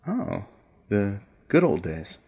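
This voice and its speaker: A man's voice: on the low side, sing-song, takes it slowly, a little mellow, husky, full character voice. A man playing a laid-back, laconic producer in a radio drama.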